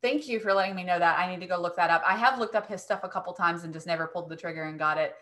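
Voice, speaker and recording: Bright-voiced woman coaching casually. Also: lowish voice, rapid-fire. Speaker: host, dog training business coach. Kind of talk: casual webinar Q&A talk.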